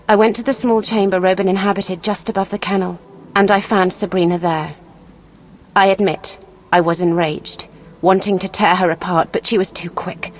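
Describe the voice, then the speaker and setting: Proud, vengeful young noblewoman acted by a woman, fantasy game: medium pitch, earnest delivery, light background noise. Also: natural voice. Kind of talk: video game dialogue acting.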